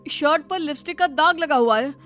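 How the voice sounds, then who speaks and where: A woman's voice: high-pitched, fairly quick, fairly bright tone, soft background music. A woman voicing a young office-going husband in a cartoon moral story, with a pleading, easily exasperated manner. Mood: upset, accusing.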